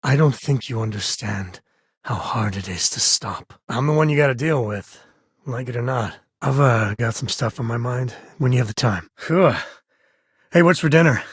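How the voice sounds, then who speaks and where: A rugged frontier space ranger from a video game, very husky and rough-edged, recorded close and dry. He talks in a folksy, sardonic way, weary but loyal, like someone who has seen plenty and says it plainly. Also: medium pitch, normal speed, dry recording. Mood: grave, earnest, then reflective. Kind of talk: video game character dialogue.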